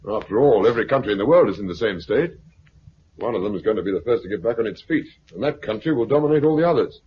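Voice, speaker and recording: A man playing a smug, authoritarian commander in a 1950s radio drama, brisk, officious and condescending. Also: fairly low pitch, expressive, close to an everyday voice. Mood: officious, cold. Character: smug authoritarian military commander of a post-apocalyptic regime. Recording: dull, noisy 1950s radio recording.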